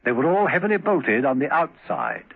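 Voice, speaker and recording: A man's voice: fairly high pitch, very animated, moderate pace, breathy edge. A man narrating a 1970s radio adventure drama from a script. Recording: muffled old 1970s radio recording.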